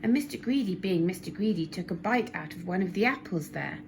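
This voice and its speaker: A woman narrating a children's story expressively, with deliberate, dramatic emphasis. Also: lowish voice, expressive. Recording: noisy amateur recording, clear background noise.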